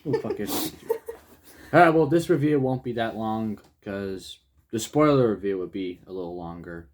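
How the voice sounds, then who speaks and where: A young man co-hosting a casual movie review chat, loose and excitable, with restarts and the odd swear word. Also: lowish voice, measured pace. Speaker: co-host of a movie review channel.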